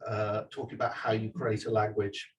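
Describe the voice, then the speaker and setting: A man's voice: fairly low pitch, level tone, normal speed, a little hiss or hum. Distant-miked man chatting about his book. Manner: chatty, digressive, many restarts and fillers. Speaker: author giving a talk. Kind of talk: live talk and audience Q&A. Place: event venue with audience.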